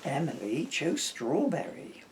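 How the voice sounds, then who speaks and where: A man's voice: a little high, huge pitch swings, a little slow. Middle aged guy reading a kids story poem, jokey and theatrical, voice goes up and down loads, slight noise. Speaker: storybook reader and author. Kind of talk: children's story-poem reading with chatty asides.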